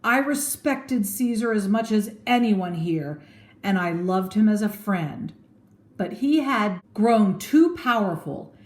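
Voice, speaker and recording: A woman's voice: mid-range voice, expressive, slow pace, a little mellow. An honorable Roman statesman, earnest and persuasive, performed by a woman reading a story. The storybook delivery is theatrical oratory, heard in a reverberant room. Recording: echoey room.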